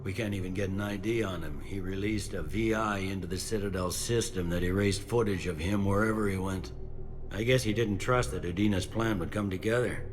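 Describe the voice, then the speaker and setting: Deep-voiced, blunt, weary police commander, acted by a man. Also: over music, lightly acted. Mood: grim, concerned. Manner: folksy, blunt, halting. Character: plain-spoken, weary, stubborn male police commander. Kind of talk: video game dramatic acting.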